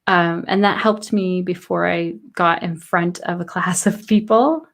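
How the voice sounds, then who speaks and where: A woman's voice: fairly low pitch, fairly even intonation, a little slow, creaky. A woman hosting a yoga teacher podcast, speaking in an encouraging, reflective way and asking open questions. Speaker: podcast host. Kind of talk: casual interview chat.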